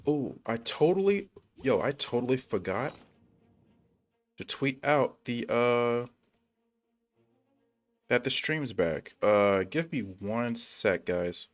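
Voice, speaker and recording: A man's voice: medium pitch, very animated, slow pace, long pauses, faint music behind. A man live streaming a game, chatting casually in a rambling, slangy and hesitant way. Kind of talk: casual gaming livestream chat.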